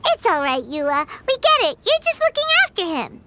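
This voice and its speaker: A woman voicing a perky, cheeky, childlike fairy companion in a video game, squeaky and excitable. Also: normal speed, background noise. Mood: curious, casual. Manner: excitable, refers to self in third person. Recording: loud music behind the voice.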